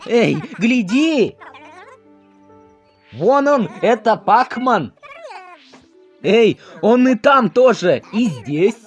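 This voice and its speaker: A man voicing a hapless, excitable cartoon ghost henchman with theatrical delivery, in an amateur video game dub. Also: very high-pitched, very slow, pauses often, husky, full character voice. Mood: eager, conspiring. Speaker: fan dub voice actor. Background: game music.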